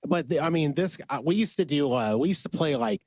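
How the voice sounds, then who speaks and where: A smoky-voiced man hosts a casual studio podcast, his voice sitting a little high for a man. He talks upbeat and eager, pushing listeners toward shows and playlists. The sound is close and dry. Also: expressive, fairly quick, a little mellow, no room sound. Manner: upbeat, plugging events and urging listeners. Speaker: podcast host.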